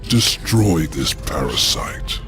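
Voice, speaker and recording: Menacing demon lord, very gravelly, slow, grandiose, commanding, game cutscene over music. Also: male voice, extremely low pitch, very animated, exaggerated voice. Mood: goading. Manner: grandiose, deliberate. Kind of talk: video game cutscene acting. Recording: music under the voice.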